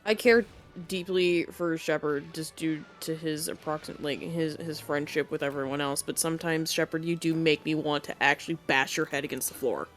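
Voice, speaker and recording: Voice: medium pitch, steady tone, normal speed, few pauses, fairly bright tone, faint music behind. A young adult on an online voice call with friends, casually telling work anecdotes, laughing along and swearing freely. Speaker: gamer on a group voice call. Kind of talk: casual storytelling while gaming.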